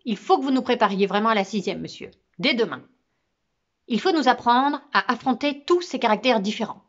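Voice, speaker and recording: An excitable schoolboy, put on by a woman reading a storybook, pushes his words out urgently and loudly. The voice sits high and bright, and the recording sounds slightly muffled. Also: unhurried. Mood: commanding. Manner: urgent, pleading, loud. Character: excitable schoolboy, bespectacled class ringleader.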